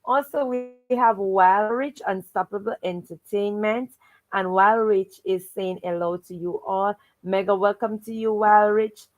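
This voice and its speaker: A woman's voice: medium pitch, fairly even intonation, unhurried, rough recording. A woman hosting a children's read-aloud livestream, encouraging and fond of repeating stock welcome phrases. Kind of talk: livestream hosting, greeting viewers and recapping stories.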